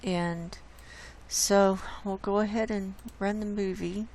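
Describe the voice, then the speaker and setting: A woman host with a hoarse voice, casually walking viewers through data shown on her screen. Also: mid-range voice, steady tone, a little mellow. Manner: unhurried, explains data on screen. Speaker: channel host presenting climate data. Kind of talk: casual screen-share presentation.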